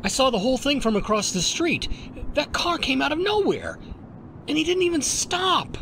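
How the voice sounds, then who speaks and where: A woman acting an excitable street witness in a radio play. Her shocked voice swings wildly in pitch over clear street noise. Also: a little slow. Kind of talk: old-time radio drama acting. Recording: noisy street ambience, traffic.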